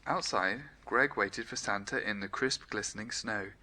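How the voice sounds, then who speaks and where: A man reading a children's story aloud in an expressive, playful, emphatic way. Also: fairly low pitch, moderate pace, slight background noise.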